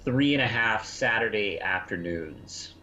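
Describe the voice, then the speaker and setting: A middle-aged man co-hosting a podcast, giving casual opinions in a halting, easygoing way. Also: on the low side, animated, sharp tone. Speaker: podcast co-host. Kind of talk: casual review discussion. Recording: muffled, echoey lo-fi connection, faint noise.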